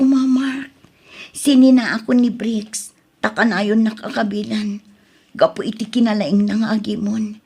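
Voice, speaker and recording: A woman's voice: medium pitch, darkish tone, slightly rough. A woman acting a hurt young wife who confides about her troubled marriage in a radio drama. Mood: sorrowful.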